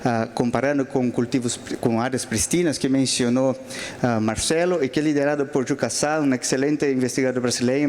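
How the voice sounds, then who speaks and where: A man's voice: mid-range voice, darkish tone. A man from Brazil with a Brazilian Portuguese accent speaking Spanish, giving closing remarks at a conference panel with many hesitant fillers and restarts. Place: large auditorium.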